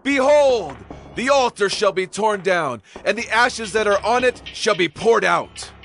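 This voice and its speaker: High-pitched, fiery crying prophet. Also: male voice, expressive, gravelly, fairly bright tone, soft background music, full character voice. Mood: fervent, solemn. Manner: declamatory, drawn-out solemn proclamation. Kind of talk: dramatized audio Bible acting.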